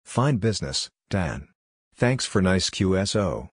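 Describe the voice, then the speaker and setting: A man reading out short scripted radio practice phrases in a measured, clipped way, one piece at a time. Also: low-pitched, very animated, slightly rough, dry recording, studio quality. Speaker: narrator of Morse code practice phrases. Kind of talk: scripted phrase readout for a lesson.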